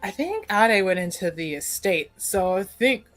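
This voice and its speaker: A woman's voice: medium pitch, animated, measured pace. A woman chatting casually as a player in a tabletop roleplaying game. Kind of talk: casual chat introducing a character.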